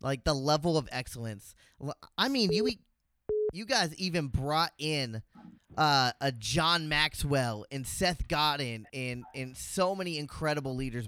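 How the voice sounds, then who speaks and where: A man's voice: mid-range voice, animated, moderate pace, bright, forceful tone, no echo, clean studio sound. A man hosting a podcast, upbeat and gushing with praise. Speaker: podcast host.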